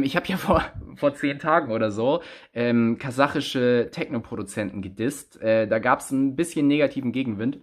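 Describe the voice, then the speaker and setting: A man in his thirties talks straight into a phone held close at arm's length in a small indoor room. He tells a story like a lively, animated entertainer, his voice jumping up and down. His pitch sits in the middle range for a man. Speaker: rapper. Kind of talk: casual selfie vlog storytelling.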